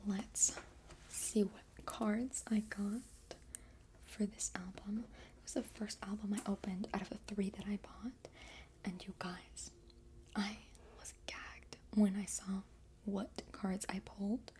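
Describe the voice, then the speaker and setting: A young woman whispering through a casual album unboxing and softly half-singing song lines, rambling with many fillers. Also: mid-range voice, steady tone, takes it slowly. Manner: rambling, filler-heavy chatter. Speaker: vlogger.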